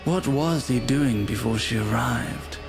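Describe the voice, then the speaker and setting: A man playing a knowing storyteller, narrating a dark visual novel tale in a deliberate, musing, theatrical manner. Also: medium pitch, vocal fry, music in the background. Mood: detached, ominous. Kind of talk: scripted dramatic narration.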